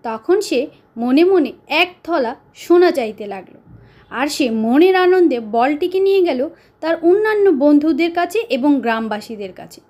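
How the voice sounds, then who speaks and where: A woman narrating a children's story as a storyteller, deliberate and pausing for dramatic effect. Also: high-pitched, expressive, darkish tone.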